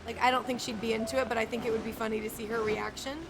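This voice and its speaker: A woman's voice: on the high side, flat delivery, rapid-fire, noisy background. A woman giving casual live commentary as she plays through a video game as a streamer. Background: game music.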